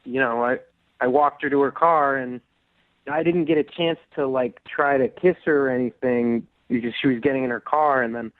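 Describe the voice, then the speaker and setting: A man's voice: mid-range voice, steady tone, moderate pace, dry recording. A nervous, hesitant man rambling through a casual chat as a caller to a radio show. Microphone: telephone. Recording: band-limited phone line on radio.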